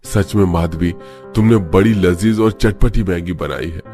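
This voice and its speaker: A man's voice: deep voice, measured pace, mellow, faint music behind. A man playing a poor, plain-spoken labourer and family man who talks earnestly in a moral story.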